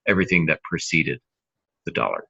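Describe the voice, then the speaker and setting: A man's voice: rumbling low, sing-song, brisk, gravelly, sharp tone, breathy edge, highs cut off. A middle-aged man co-hosting a podcast, discussing fiction craft conversationally and emphatically, repeating phrases to make his point. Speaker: podcast co-host, novelist.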